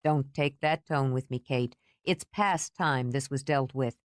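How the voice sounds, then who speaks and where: A woman narrator voicing a teasing, protective older brother who speaks in a dry, calmly pushy way. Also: extremely low pitch, measured pace, no room sound. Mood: stern.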